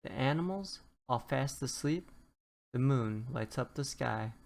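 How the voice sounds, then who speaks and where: Slow-paced storybook reader. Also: mid-range voice, lively intonation, vocal fry. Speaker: children's book reader. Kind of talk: scripted storybook narration, rhyming read-aloud.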